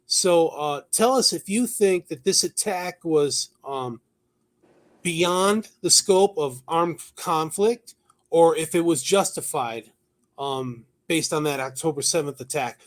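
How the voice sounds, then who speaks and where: A man with a somewhat high-pitched voice co-hosts a news discussion. He shifts between reading from notes and chatting informally. His delivery halts now and then, and he repeats words for emphasis. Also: slow pace. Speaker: talk show host. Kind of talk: conversational news commentary, partly read from notes.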